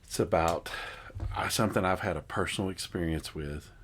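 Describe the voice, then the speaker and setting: A man's voice: deep voice, normal speed, a bit bright, slight background noise. A man co-hosting a podcast in casual banter, rambling into goofy, joking asides. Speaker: podcast co-host.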